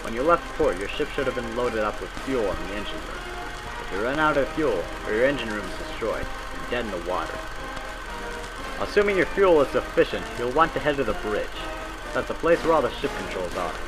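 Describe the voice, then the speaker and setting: A man reading scripted narration in the style of a 1940s military training film, brisk and instructive with folksy old-time phrasing. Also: mid-range voice, animated, over loud music, rough recording.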